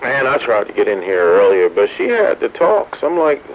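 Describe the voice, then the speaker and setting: A man's voice: medium pitch, sing-song, normal speed. A man chatting casually as an operator on CB radio. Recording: noisy, muffled CB radio transmission.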